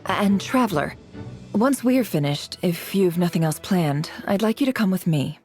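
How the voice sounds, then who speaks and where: A composed woman strategist from a fantasy game, played by an actress. Her voice is low and mellow, with formal, measured phrasing and cool self-assurance over faint music. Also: lively intonation, natural voice. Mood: composed, assured. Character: composed, shrewd, commanding adult woman strategist. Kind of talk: game character voice acting.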